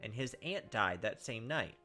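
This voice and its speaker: A man's voice: lowish voice, animated, moderate pace, pushed and bright, soft background music. A young man doing casual game commentary and reading story narration aloud. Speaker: gaming video host.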